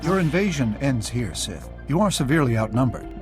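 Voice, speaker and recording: A man's voice: mid-range voice, big pitch swings, brisk, over music, close to an everyday voice. Casting: stern Jedi warrior, male, actor, firm and defiant, mid register, dramatic swings of intonation for a game. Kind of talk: video game cutscene acting.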